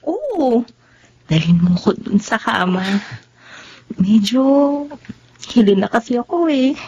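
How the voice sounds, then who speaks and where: Seductive, high-voiced Filipina sweetheart. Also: female voice, sing-song, takes it slowly, a little mellow, husky, no echo, lo-fi sound, heavily acted. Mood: coy, tipsy. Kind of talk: melodramatic Tagalog radio drama acting.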